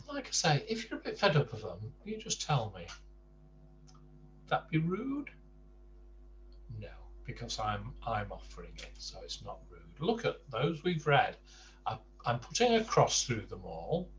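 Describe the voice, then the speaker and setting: Very animated man reading a bedtime story. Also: mid-range voice, unhurried, muffled recording. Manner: expressive, emphatic storytelling. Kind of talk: animated children's storybook narration with casual asides. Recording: distant mic with faint background noise.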